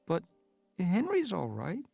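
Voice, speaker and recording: A man putting on a lazy, squeaky house tomcat. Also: huge pitch swings, takes it slowly, gravelly, warm tone, husky, no room sound, faint music behind, full character voice. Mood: worried. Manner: high eager squeaky mewing.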